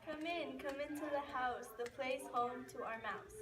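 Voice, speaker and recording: A woman's voice: high voice, normal speed, a crowd in the background, lightly acted. A woman playing a greedy, scheming cottage sister who talks in rhyme in an amateur puppet show. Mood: inviting. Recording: echoey, distant mic, audience noise.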